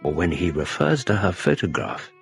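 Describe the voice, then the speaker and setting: An actor playing a genial Victorian gentleman who narrates a radio drama in a measured, wry way. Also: sits low, sing-song, gravelly, faint music behind, exaggerated voice. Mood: wry, reflective. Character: genial Victorian gentleman, detective's companion, telling his tale.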